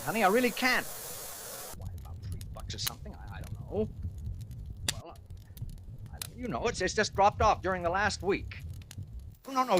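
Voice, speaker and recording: A man's voice: fairly high pitch, a bit bright. An actor playing an anxious, worn-down male diner owner who falters and placates, in a 1940s radio drama style. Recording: hissy vintage radio broadcast.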